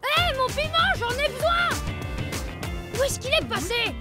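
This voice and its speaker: Very high, excitable cartoon boy. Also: measured pace. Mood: indignant. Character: excitable, bold, cocky young boy. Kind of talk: French cartoon voice acting. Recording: music clearly behind the voice.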